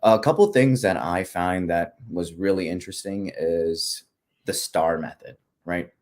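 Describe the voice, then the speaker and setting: A man being interviewed, relaxed and conversational, whose first language is not English. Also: low voice, a little slow. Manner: relaxed, conversational, many fillers and tag questions. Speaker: guest. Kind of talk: casual interview chat.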